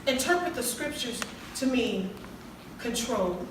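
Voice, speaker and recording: A woman acting as an encouraging self-help counselor in a stage play, speaking in a measured, preachy way to her group. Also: a little high, lively intonation. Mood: earnest, instructive. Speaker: counselor and author leading a support group. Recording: echoey hall, far mic, clear background noise.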